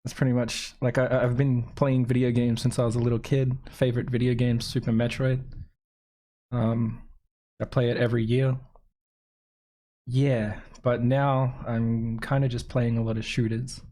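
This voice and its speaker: A man talks in a soft voice at an ordinary male pitch. He hosts a gaming podcast and keeps the delivery casual and conversational. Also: little pitch movement, moderate pace. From Australia. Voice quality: soft-spoken. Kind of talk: casual chat.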